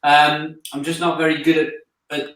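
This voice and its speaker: A man's voice: a little high, completely flat delivery, normal speed, fairly bright tone, very echoey, low-quality recording. A man hosting a live stream, reading out viewer comments in a hesitant way with many ums. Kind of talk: casual live-stream chat.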